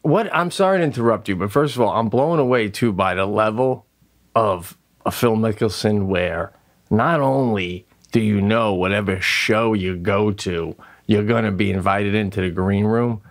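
A man's voice: fairly low pitch, normal speed. A middle-aged man co-hosting a podcast, talking animatedly in a casual chat with frequent swearing and laughter, recorded in a small studio on a mic on an arm. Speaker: podcast co-host.

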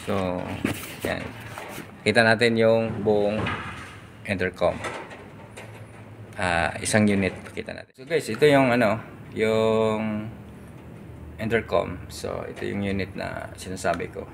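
Filipino guy doing a casual gear review, really croaky fry, halting delivery, bit of background noise. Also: lowish voice. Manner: halting, searching for words in short bursts. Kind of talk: casual product review vlog. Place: large tiled indoor hall. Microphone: phone at arm's length.

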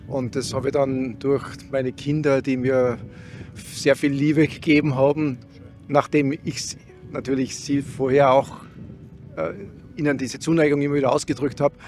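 Middle-aged man, flat earnest voice. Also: medium pitch. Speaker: interviewee. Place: city street outdoors. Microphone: handheld microphone held by interviewer.

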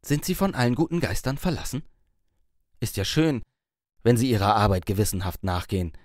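A man voicing a confident young career woman in an audiobook, stern, measured and condescending. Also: lowish voice, expressive, a little mellow, no room sound, studio quality, lightly acted. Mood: indignant, controlled.

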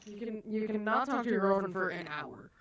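A woman's voice: medium pitch, brisk, crowd noise. A woman chatting casually with friends during an online game, often laughing and exclaiming. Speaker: gaming friend. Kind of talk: casual gaming chat.

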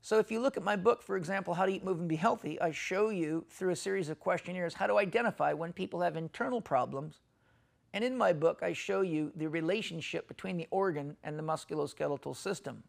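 A middle-aged man explains health topics in a measured, methodical way, laying out examples one by one. His voice sits fairly high and has a gravelly edge. He speaks into a close lapel mic. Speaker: health educator. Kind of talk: instructional lecture to camera. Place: indoors in a library with bookshelves.

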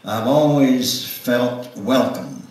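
A ninety-year-old man giving a dramatic, pause-filled memoir reading as a guest speaker. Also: mid-range voice, darkish tone. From Knoxville, Tennessee, United States. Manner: slow, theatrical delivery with long pauses. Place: church sanctuary, indoors. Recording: echoey hall, distant microphone.

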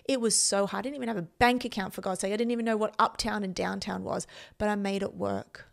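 Gravelly Australian woman, emphatic. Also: medium pitch, animated, fast talker. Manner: emphatic, self-assured. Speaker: podcast host and performance coach. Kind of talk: motivational personal storytelling to camera. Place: indoor room. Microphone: podcast microphone on boom arm, close up.

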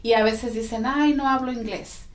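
A woman's voice: a little high, steady tone, moderate pace, a little hiss or hum. A woman in her forties, an immigration legal representative, explains steps in a friendly way, often adding tag questions. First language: Spanish. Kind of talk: informative interview talk. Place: small office with bookshelves, indoors. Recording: echoey office room.

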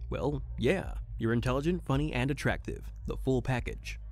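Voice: mid-range voice, normal speed, darkish tone, natural voice. An actor playing a socially awkward, formal detective in an audio drama, with precise, stiff wording. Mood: awkward, polite. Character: formal, socially awkward detective narrator, reciting data robotically. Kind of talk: audio drama acting and noir narration.

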